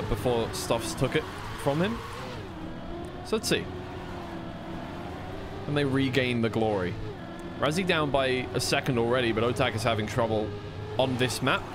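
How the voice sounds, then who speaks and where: A man commentates live on a racing game with a mid-pitched voice. He talks conversationally and tends to question and correct his own calls. Vehicle noise from the game is clearly heard behind him. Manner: conversational, second-guesses himself. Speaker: esports commentator.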